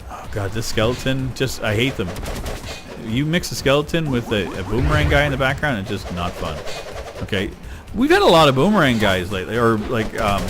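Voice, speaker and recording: A middle-aged man rambling casually while playing a video game, getting excited over loot. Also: medium pitch. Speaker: gaming YouTuber. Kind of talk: casual let's-play commentary. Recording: game music behind voice. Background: game music.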